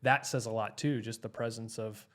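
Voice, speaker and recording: A man's voice: fairly low pitch, steady tone, normal speed, a bit bright. An American man hosting a casual podcast, talking emphatically and restating his points in parallel phrases. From United States. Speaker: podcast host, chiropractor.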